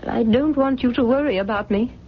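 A woman's voice: a little high, fairly quick, natural voice. An actress playing a proud, anguished older mother in a radio drama, protesting with open emotion. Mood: anguished denial. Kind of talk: 1970s radio drama acting. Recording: dull, noisy 1970s radio recording.

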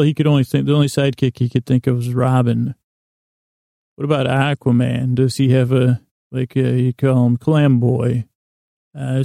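Gravelly man, rambling podcast monologue. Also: mid-range voice, mellow, no room sound, clean studio sound. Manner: meandering, halting, self-deprecating. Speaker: podcast host.

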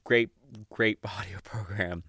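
A young adult man hosting a podcast solo, chatting casually and off the cuff in a giggly, rambling, sweary way with halting false starts. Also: on the low side, steady tone, sharp tone, no echo, studio quality. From Mexico or of Mexican family. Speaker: podcast host. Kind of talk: casual unscripted podcast monologue.

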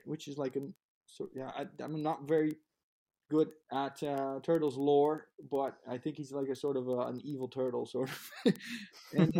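A man from Europe, a guest recalling comics in a halting way with many restarts, laughing easily. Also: mid-range voice, dark tone. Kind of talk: casual interview chat.

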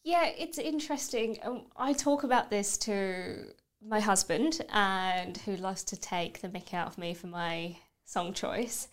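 A woman in her thirties with a British accent speaks as a podcast guest. Her voice sits at a medium pitch. She is candid and reflective, speaking conversationally about personal matters. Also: a little slow. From United Kingdom. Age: 30s.

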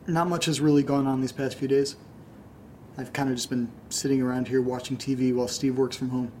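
A man's voice: darkish tone, lightly acted. A man acting as an uneasy, withdrawn young man in an audio drama, speaking in a subdued, hesitant diary style. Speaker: actor in audio fiction podcast. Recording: noisy amateur recording with low rumble.

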